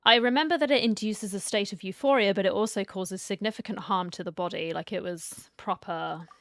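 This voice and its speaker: A woman's voice: mid-range voice, brisk, no echo, studio quality. A woman streamer giving casual reaction commentary while playing through a game.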